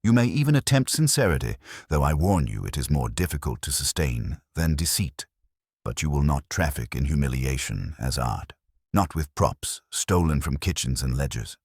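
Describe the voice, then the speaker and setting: Guy doing a stern brainy detective for an audiobook, super deep raspy voice, formal precise wording. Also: big pitch swings, normal speed, darkish tone, no echo, clean studio sound, full character voice. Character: imperious, cerebral male detective, measured and stern.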